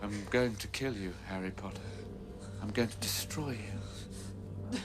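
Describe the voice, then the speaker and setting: Cruel fantasy dark lord, male actor, grandiose low menace, drawn-out delivery under loud score. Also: close to an everyday voice. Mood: gloating menace. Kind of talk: fantasy film villain acting. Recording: film clip under loud music.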